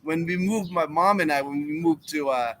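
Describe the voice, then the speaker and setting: A man's voice: fairly high pitch, normal speed, a bit bright, slight background noise. A man in his fifties, from the United States, hosting a casual live Q&A stream and rambling through answers to chat questions. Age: 50s. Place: home in the Philippines.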